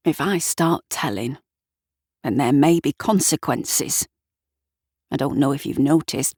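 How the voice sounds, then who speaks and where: A woman's voice: sits low, vocal fry, a little mellow, breathy edge, no echo, clean studio sound, exaggerated voice. A woman voicing a grieving, fearful old man in a story, hesitant and plain-spoken. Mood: anxious, weary. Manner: hesitant, plain-spoken, dialect turns of phrase.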